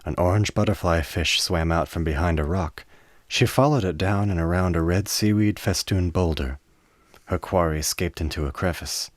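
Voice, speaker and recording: A man narrating a scripted drama in a measured storytelling manner. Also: low voice, no room sound.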